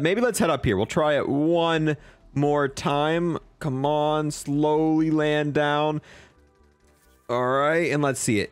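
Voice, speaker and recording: A young man giving casual, slangy, excitable commentary as he reviews game content. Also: fairly high pitch, dry recording. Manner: excitable, slangy bro-and-dude talk. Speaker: gaming video creator.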